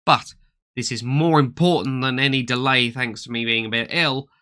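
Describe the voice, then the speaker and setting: Bright-voiced man with a cold. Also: medium pitch, steady tone, normal speed. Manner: enthusiastic, rambling with many uh fillers and restarts. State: has a cold, slightly nasal. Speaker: toy collecting channel host. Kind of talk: casual hobby news chat.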